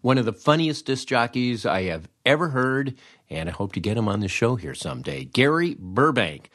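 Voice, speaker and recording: A man's voice: mid-range voice, sing-song, moderate pace, fairly bright tone. A man hosting a podcast, giving a commentary and sign-off straight to the listener. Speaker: podcast host.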